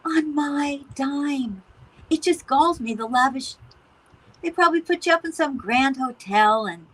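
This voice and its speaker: A woman's voice: sits high, lively intonation, measured pace, a bit bright, rough recording. An actress playing a disapproving middle-aged mother in a stage play, pointed and exasperated. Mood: exasperated, indignant. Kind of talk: conversational stage-play dialogue.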